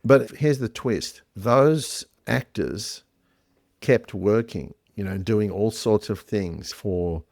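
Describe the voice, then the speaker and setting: A man with a very low voice recounts a story in an easy, chatty way, pausing often for ums and uhs. The sound is close and dry, with almost no room around it. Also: vocal fry, no echo. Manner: relaxed storytelling with fillers. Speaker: podcast co-host. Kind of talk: casual chat.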